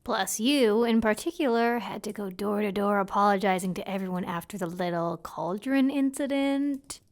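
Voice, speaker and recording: A cynical ghost schoolgirl, acted by a woman in an audio drama. She speaks at a middling pitch, deadpan and bluntly gloomy. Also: normal speed, natural voice. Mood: deadpan, needling.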